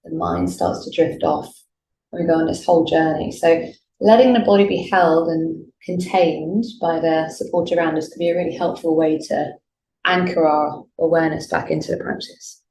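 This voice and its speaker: A woman teaching yoga nidra, leading a guided meditation in a gentle, soothing, unhurried way with pauses. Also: low-pitched, rough recording. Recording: clear background noise, room ambience.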